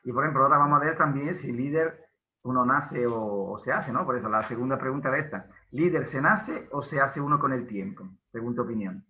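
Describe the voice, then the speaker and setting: A man's voice: mid-range voice, brisk, sharp tone. A man hosts an online interview, full of eager praise and chatter, often stumbling and restarting his phrases. His voice carries a roomy echo, and the video-call audio sounds dull and muffled. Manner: effusive, chatty, with filler and restarts. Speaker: host. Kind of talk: casual interview hosting.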